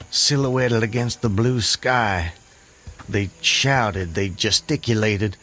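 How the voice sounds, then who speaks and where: A man's voice: fairly low pitch, fairly bright tone, slight background noise. An actor playing an earnest, haunted man who escaped his hanging, recounting it in formal, vivid language for an audio drama. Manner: literary, vivid, formal narration. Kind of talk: audio drama monologue and dialogue. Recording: music under the voice. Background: music.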